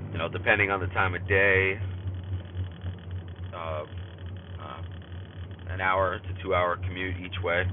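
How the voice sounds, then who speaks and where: Podcast host, 30s man, stuffy with a cold, low voice, rambling casual journal from his car, tinny phone-like sound, faint road noise. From California. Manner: rambling, many fillers and restarts. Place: in a car while driving.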